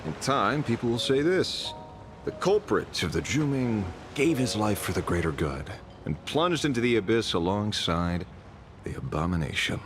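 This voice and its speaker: Game role: worldly sardonic rogue, low-pitched voice, cool composure, music underneath. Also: male voice, very animated, normal speed. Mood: wry, composed. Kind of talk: video game voice acting.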